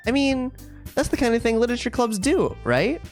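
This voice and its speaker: A woman's voice: a little high, sing-song, a little fast, darkish tone, faint music behind, pitched above the performer's own voice. A woman voicing a sweet, gushing teenage girl club president from a visual novel game, with an eerie devotion underneath. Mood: cheerful.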